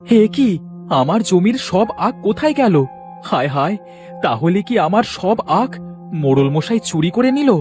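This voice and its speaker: Man playing a high-voiced, excitable villager. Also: normal speed, faint music behind. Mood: dismayed, vengeful. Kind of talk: children's cartoon story acting.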